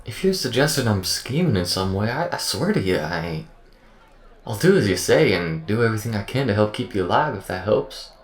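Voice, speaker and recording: A man's voice: lowish voice, big pitch swings, fairly quick, echoey room, pitched above the performer's own voice. A man voicing a nervous, eager-to-please AI with a stammering, folksy way of talking in an audio roleplay. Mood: anxious, pleading. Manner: stammering, folksy drawl phrasing.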